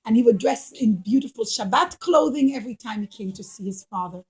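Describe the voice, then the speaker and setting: A high-voiced woman tells a story to children. She uses simple, emphatic phrasing and addresses her young listeners directly, like a teacher. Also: expressive, a little fast, a little mellow. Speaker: children's puppet show storyteller. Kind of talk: animated storytelling for children, asking questions.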